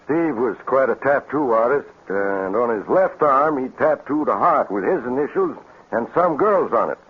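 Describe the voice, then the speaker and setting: A plain-spoken country sheriff in an old radio play. He is firm and folksy, with a rough, husky voice at middling pitch, heard through a tinny, narrow recording. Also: lively intonation. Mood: measured testimony. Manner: folksy, deliberate. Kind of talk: 1950s radio drama acting. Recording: thin, phone-like vintage radio recording.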